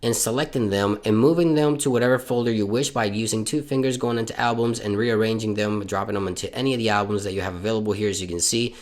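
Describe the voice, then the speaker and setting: A man's voice: on the low side, quick pace, hardly any pauses. A man from Puerto Rico, a bilingual Spanish speaker, hosting a tech channel and walking viewers through phone tricks step by step in an upbeat, instructional way. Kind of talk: tech tips walkthrough.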